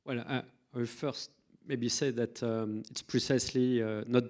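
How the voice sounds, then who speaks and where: A man answering a question on a conference panel in a measured, persuasive way. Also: medium pitch, steady tone, a little mellow.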